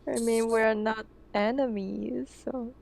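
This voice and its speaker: Slow, flat, gravelly woman player. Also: a little high, a little hiss or hum. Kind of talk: playing a tabletop roleplaying game.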